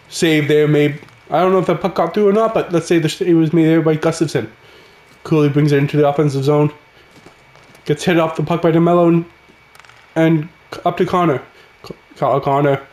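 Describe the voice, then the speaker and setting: A man, raspy from a cold, giving casual play-by-play commentary over a hockey video game. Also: fairly high pitch, roomy sound. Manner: excitable, calls the action as it happens. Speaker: gaming channel host.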